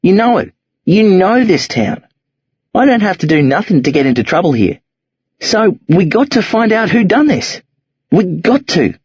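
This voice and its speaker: Man voicing tough teenage boy, husky, low and rough, slangy, dull lo-fi sound. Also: big pitch swings, normal speed, no echo, exaggerated voice. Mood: urgent. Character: streetwise teenage boy, tough, pleading, wary. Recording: dull lo-fi audio.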